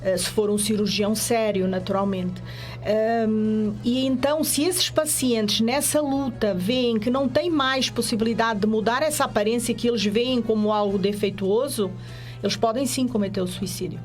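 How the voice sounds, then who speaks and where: Radio guest: Portuguese-accented woman psychologist, slightly high voice, measured and hesitant. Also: faint music behind. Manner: measured, explanatory, with hesitant fillers. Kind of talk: radio interview.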